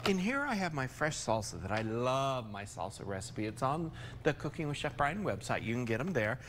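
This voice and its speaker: Lively older American man, cooking host. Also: medium pitch, moderate pace, slight background noise. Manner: chatty, folksy, informal. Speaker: TV chef, cooking segment host. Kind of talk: casual cooking demonstration. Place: TV studio kitchen, indoors.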